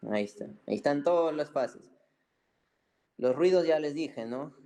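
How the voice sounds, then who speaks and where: A man's voice: fairly high pitch, lively intonation, moderate pace, dark tone. A man giving an informal medical lecture, colloquial and didactic, repeating key points and often checking in with short tags. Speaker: medical physiology teacher.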